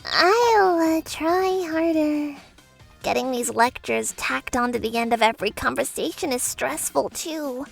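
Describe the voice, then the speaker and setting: A woman voicing an anxious young newlywed wife in a manga dub, expressive and easily flustered. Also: sits high, a little slow, gravelly, soft background music, full character voice. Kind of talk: manga dub first-person narration and dialogue.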